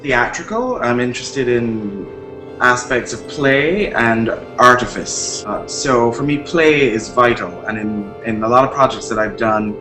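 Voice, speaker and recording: A man from Barbados, an artist, talking thoughtfully about his practice with pauses and restarts. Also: mid-range voice, lively intonation. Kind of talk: interview talk about his art practice. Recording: echoey room with music behind.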